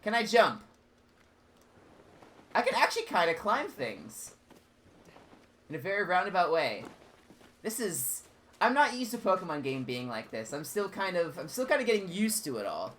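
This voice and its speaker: A man's voice: sits high, big pitch swings, moderate pace, pauses often, fairly bright tone, a little hiss or hum. A man commenting on a game as he plays, chatty and rambling, often stumbling over and repeating his words. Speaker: gaming channel host. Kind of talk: let's play commentary.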